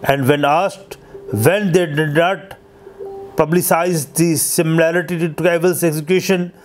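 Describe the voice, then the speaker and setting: A man reading a scripted history narration as host, in a halting, stumbling way. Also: a little high, fairly even intonation, faint music behind.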